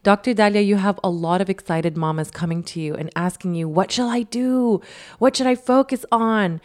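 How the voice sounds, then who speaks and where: A woman's voice: medium pitch, normal speed. A woman co-hosting a podcast, effusive and upbeat as she addresses listeners and guests. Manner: effusive, enthusiastic. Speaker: podcast co-host.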